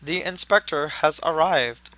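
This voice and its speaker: A man acts out a vain young star singer in a radio play. His voice is very bright and a little high, with a pompous, affected delivery and a dry close sound. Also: unhurried, dry recording. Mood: smug. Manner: grandiose, affected. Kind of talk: noir radio drama acting.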